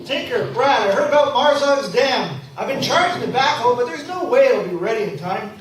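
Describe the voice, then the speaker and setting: A man's voice: extremely high pitch, expressive, moderate pace. An actor playing a practical young townsman in a live radio play, earnest and a bit hesitant. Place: convention hall. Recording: very echoey hall, from far off, noisy.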